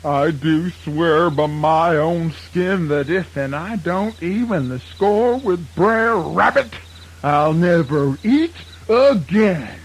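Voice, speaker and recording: High-pitched, excitable Southern fox. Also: male voice, takes it slowly, a little hiss or hum, rough recording. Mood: grumpy. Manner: exaggerated, folksy, excitable. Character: excitable, gullible, grudge-bearing male fox. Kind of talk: children's radio theatre acting.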